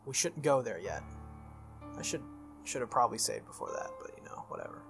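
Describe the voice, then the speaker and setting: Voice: medium pitch, very animated, brisk, vocal fry. Game streamer reading over prominent music. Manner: stumbles and repeats words while reading. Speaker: video game playthrough host. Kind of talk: reading visual novel text aloud with casual reactions.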